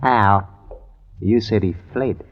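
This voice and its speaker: An actor playing a gruff, slow-witted jailed husband in an old-time radio drama. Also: male voice, fairly low pitch. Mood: grudging, puzzled. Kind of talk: 1950s radio drama acting. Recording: boxy, tinny old radio recording.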